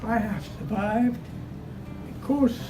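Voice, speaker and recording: Older male doctor, earnest thank-you speech, far from the mic, loud music under it. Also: sits high, takes it slowly. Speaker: retiring physician giving thanks. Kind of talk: retirement party speech.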